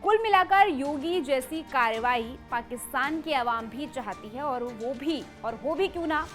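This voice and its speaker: A woman's voice: sits high, lively intonation, a little slow, soft background music. A woman presenting scripted news in an emphatic, dramatic way. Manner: emphatic, dramatic delivery with rhetorical questions.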